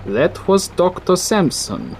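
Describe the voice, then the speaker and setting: An actor playing a courteous, apologetic city coordinator who turns quietly menacing, in an audio drama. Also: male voice, high voice, huge pitch swings, takes it slowly, gravelly, faint music behind, full character voice. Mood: politely menacing. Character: polite but sinister city coordinator man. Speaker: coordinator of a recycling facility.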